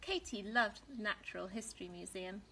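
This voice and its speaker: A woman reading a children's story expressively as a school storyteller, with dramatic, deliberate phrasing for young listeners. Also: mid-range voice, bright, forceful tone, slight background noise, low-quality recording.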